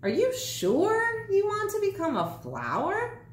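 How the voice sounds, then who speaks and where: A woman's voice: very high voice, huge pitch swings, slow, roomy sound, slight background noise, pitched above the performer's own voice, full character voice. A woman voicing a chirpy, instructive male magic gnome from a children's storybook. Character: male magic gnome, chirpy, matter-of-fact, a little sly.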